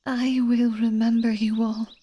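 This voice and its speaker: A woman playing a gentle, grateful young princess in an audio drama, soft, breathy and regal. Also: a little high, little pitch movement, unhurried. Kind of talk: audio roleplay drama acting.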